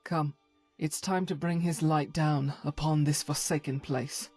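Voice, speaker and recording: A woman voicing a devout, weary female warrior of a holy order in a fantasy game, earnest and solemn, with a husky, breathy voice and halting formal phrasing. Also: deep voice, fairly even intonation, a little mellow, faint music behind. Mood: weary, resolute. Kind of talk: voice acting for fantasy game companion.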